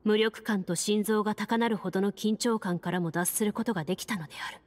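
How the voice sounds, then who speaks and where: An actress playing a serious, composed game master, narrating a fantasy quest in measured storytelling for a video game. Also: female voice, medium pitch, a little mellow, natural voice. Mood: composed narration. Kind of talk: Japanese game voice acting, scripted narration.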